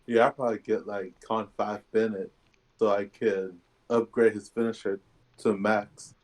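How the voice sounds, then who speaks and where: A man's voice: lowish voice, flat delivery, unhurried, low-quality recording. A man chatting casually while playing a game with a friend. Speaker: co-op gaming partner. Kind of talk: casual chat while gaming. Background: faint game music.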